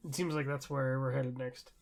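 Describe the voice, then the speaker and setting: A man's voice: mid-range voice, level tone, normal speed, creaky. A young adult man co-hosting a podcast, chatting casually in an enthusiastic, rambling way. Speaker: podcast co-host. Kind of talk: casual chat about shows and anime.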